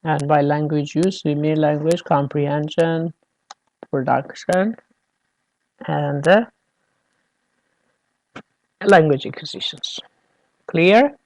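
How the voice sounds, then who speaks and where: Slow, gravelly lecturer. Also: medium pitch, low-quality recording. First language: Persian. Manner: hesitant, many fillers, keeps checking "all right?" with students. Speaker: university lecturer. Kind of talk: classroom lecture.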